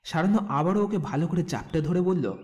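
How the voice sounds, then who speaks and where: A man's voice: on the high side, lively intonation, normal speed, darkish tone. A man narrating a scripted romantic audio story.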